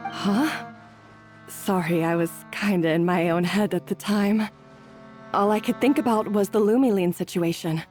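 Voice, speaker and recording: An actress playing a remorseful, self-doubting head chef in a video game, speaking earnestly and hesitantly. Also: female voice, medium pitch, measured pace, darkish tone, faint music behind, natural voice.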